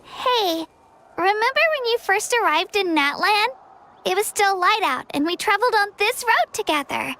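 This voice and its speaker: A woman's voice: extremely high pitch, lively intonation, normal speed, bright, forceful tone, exaggerated voice. A woman voicing an earnest, friendly young girl adventurer in an anime game, admiring and encouraging. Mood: warm, admiring.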